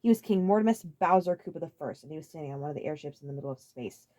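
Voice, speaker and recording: A woman's voice: deep voice, expressive, racing. A woman giving a dramatic scripted reading of a story as its narrator. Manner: stumbles over written words. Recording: loud music under the voice.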